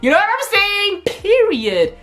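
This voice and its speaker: A woman's voice: very high-pitched, huge pitch swings, a little slow, fairly bright tone. A woman with a South African accent, talking to camera in a sassy, playful vlog and slipping between languages. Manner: sassy, playful, code-switching banter. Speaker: YouTuber. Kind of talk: casual vlog talk to camera.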